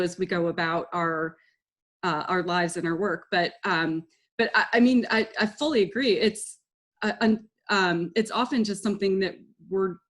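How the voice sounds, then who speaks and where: A woman presenting to an online group in an animated, conversational way, laughing easily. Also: fairly low pitch. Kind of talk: informal explainer talk.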